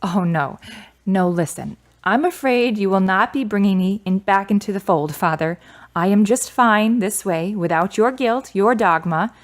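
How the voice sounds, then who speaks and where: An actress plays a grieving daughter in a radio drama. Her voice is of medium pitch for a woman. She is guarded and blunt, with a dry, skeptical bite. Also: measured pace, close to an everyday voice. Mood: skeptical, defiant. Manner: wry, blunt.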